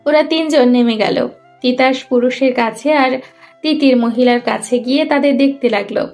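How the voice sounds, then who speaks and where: Female storyteller, high voice, scripted narration over faint music. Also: moderate pace, darkish tone.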